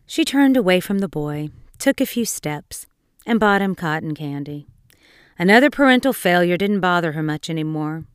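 Female narrator for dark fairy tales: lowish pitch, dramatic scripted read, dry studio-like sound. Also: animated, moderate pace, smooth, no echo. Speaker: storyteller.

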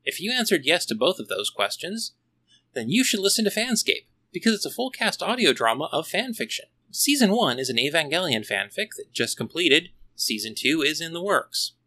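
A man's voice: on the high side, sing-song, normal speed, pushed and bright. A man hosting a solo podcast, delivering a scripted review with wry, tongue-in-cheek asides. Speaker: podcast host reviewing comics.

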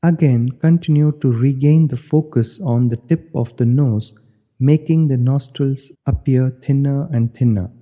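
A man leading a guided meditation as an instructor, giving measured, step-by-step directions. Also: mid-range voice, dark tone. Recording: long hollow reverb.

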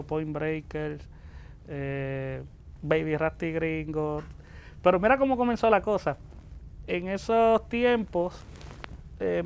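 High-pitched, gravelly Puerto Rican man in his forties. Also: slight background noise. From born in the Dominican Republic, raised in Puerto Rico from age ten. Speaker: interview guest, music producer. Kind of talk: casual anecdotal storytelling.

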